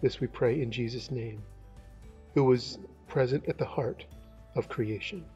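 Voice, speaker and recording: Older pastor guy chatting a devotional at his webcam, husky and mellow, kinda dull lo-fi sound. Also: medium pitch, measured pace, faint music behind. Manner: conversational, relaxed, musing with asides. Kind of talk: devotional talk and prayer. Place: indoors, large room with wood beams. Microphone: webcam, close framing.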